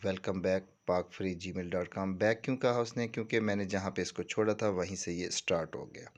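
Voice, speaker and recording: Low-voiced Pakistani man explaining step by step. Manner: explanatory, repeatedly checking the listener follows. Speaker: tutorial presenter. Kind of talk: informal screen walkthrough.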